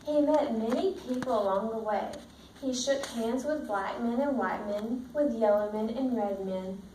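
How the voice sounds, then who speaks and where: Female teacher, storybook read-aloud, higher-pitched voice, roomy sound with faint noise. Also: measured pace, mellow. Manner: checks understanding with simple questions. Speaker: teacher reading a picture book to children. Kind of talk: read-aloud with questions to young pupils. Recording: roomy, distant mic, faint background noise.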